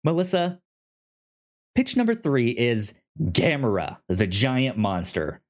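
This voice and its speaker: A man's voice: mid-range voice, sing-song, takes it slowly. A man chatting casually as a podcast co-host, often stammering and repeating sounds and the starts of words. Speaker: podcast co-host pitching films and comics.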